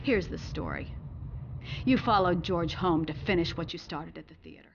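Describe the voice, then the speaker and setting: A woman's voice: lowish voice, normal speed, lo-fi sound, background noise, natural voice. A woman playing a ruthless, proud middle-aged corporate villain in a video game, haughty and cutting. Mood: smug, menacing. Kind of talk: video game cutscene acting.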